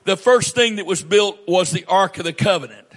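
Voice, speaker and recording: A male pastor preaching a sermon in a church, reading scripture aloud and then explaining it in a measured, emphatic way with pauses. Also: high-pitched.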